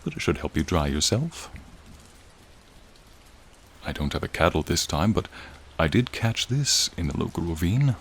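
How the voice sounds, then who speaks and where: A man's voice: normal speed, pauses often, a little hiss or hum. A man voicing a friendly, charming frost dragon in a soothing, courtly, admiring manner, in an ASMR roleplay with rain behind him. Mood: friendly, hospitable. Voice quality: low, gravelly, soothing. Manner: courtly, leisurely, warmly attentive. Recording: steady rain sounds behind the voice. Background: rain falling.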